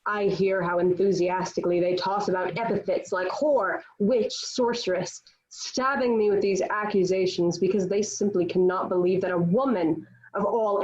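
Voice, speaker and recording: A woman's voice: medium pitch, darkish tone, close to an everyday voice. Woman acting devout young warrior girl facing death, earnest stage-play speeches, muffled lo-fi audio. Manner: earnest, declamatory. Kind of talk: dramatic table read of a historical play.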